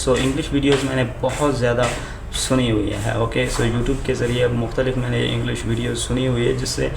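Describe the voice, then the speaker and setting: A young man with an Urdu accent, a native Urdu speaker, giving language-learning advice in a halting casual vlog style full of fillers and restarts, switching between English and Urdu. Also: medium pitch, vocal fry. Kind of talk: casual talking to camera. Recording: echoey room with faint ambient noise.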